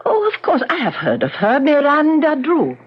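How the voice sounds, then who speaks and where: A woman's voice: high voice, huge pitch swings, moderate pace. A woman playing an anxious, distrustful foreign ballerina in a 1970s radio drama, with formal, careful phrasing. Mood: curious, polite. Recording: dull lo-fi 1975 radio broadcast.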